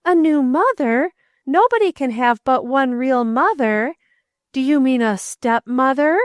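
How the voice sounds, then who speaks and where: A woman's voice: high voice, lively intonation, measured pace, dry recording, voice pushed up. Woman reading as an earnest, grief-struck boy. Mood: alarmed. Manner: eager, pleading, rising to outbursts. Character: earnest boy, grieving and indignant.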